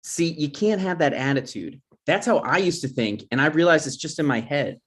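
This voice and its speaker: A man's voice: fairly high pitch, expressive, normal speed, fairly bright tone. A man playing an insecure, defensive boyfriend in his twenties in a comedy script table read. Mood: earnest. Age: young adult.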